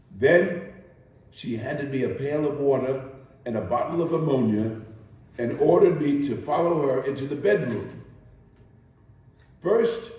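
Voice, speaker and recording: A man giving a theatrical first-person dramatic reading, deliberate and emphatic with dramatic pauses, recorded far from the mic in an echoey room. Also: medium pitch, warm tone. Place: live performance in reverberant room.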